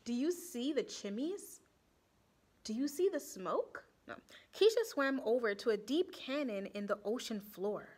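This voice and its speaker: A young woman reading a children's picture book aloud expressively, deliberately, pausing to ask and explain. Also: a little high, expressive, a little mellow. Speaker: storytime reader for children. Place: small indoor room with bulletin board.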